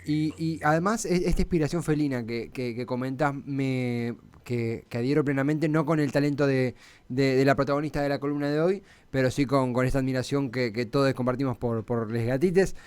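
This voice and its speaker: A man with an Argentine accent hosting a radio conversation, forming questions in a halting way with repeated words. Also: mid-range voice, fairly even intonation, a little mellow, clear, resonant tone. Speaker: radio host. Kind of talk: conversational interviewing.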